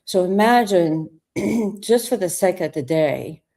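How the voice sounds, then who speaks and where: A woman's voice: fairly low pitch, animated, slow pace, darkish tone. A woman hosting a podcast, talking in a reflective way about stillness and guiding the listener through ideas. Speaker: podcast host. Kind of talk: conversational spiritual talk.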